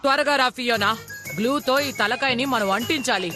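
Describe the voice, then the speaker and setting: A woman's voice: on the high side, a little fast, music in the background, close to an everyday voice. A woman voicing a cranky, scolding old grandmother in a dubbed cartoon, snappish and scornful.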